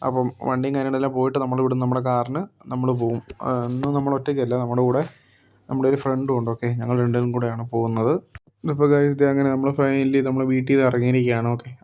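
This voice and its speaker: A man's voice: mid-range voice, mellow. A man from Kerala narrating his day vlog-style in a rambling, casual way, full of fillers. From Kerala, India. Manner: rambling, heavy on fillers like "okay" and "and things". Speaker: vlogger narrating a bus-business roleplay.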